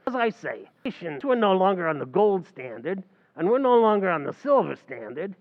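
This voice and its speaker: A brash New bartender lectures like a know-it-all and keeps mangling his big words, as a man plays him. His voice runs high and bounces all over, with a gravelly rasp. The sound is dull and lo-fi, like an old-time radio comedy. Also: big pitch swings, normal speed. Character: fast-talking, know-it-all New York bartender Archie.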